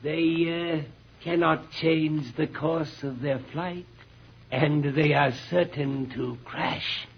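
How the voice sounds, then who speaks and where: An actor playing a cold, calculating scientist in an old radio serial, formal and deliberate, with a raspy, gravelly voice. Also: male voice, on the high side, steady tone, exaggerated voice. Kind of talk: 1950s radio serial acting. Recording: dull lo-fi old radio recording.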